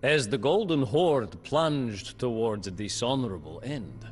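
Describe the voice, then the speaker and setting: An actor narrating a game's historical campaign as a male chronicler, in slow, measured scripted storybook narration. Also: medium pitch, expressive, close to an everyday voice. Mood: grave. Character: male captive chronicler telling a war tale. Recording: studio narration over faint music.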